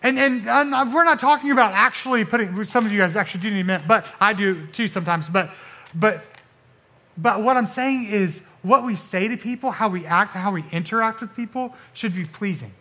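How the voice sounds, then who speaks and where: A man preaching a casual sermon in a high, bright, forceful voice. He talks loosely, with many fillers, and often acts out what people say. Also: moderate pace, rough recording. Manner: casual, animated, with frequent fillers and acted-out quotes. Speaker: pastor.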